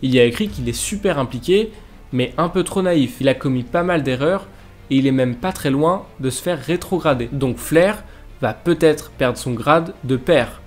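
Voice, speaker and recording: A man's voice: medium pitch, normal speed, darkish tone. A young man with a French accent, narrating a story to his viewers in a casual, chatty vlog style. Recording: faint music bed behind voice.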